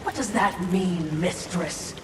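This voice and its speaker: A sardonic demon servant, needling under a show of deference. The voice echoes from a distance over clear background noise, in dramatic video game style. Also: female voice, fairly low pitch, slow pace. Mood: mock-deferential. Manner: dry, needling, mock-deferential. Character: sly, sardonic demonic servant creature, female, obsequious.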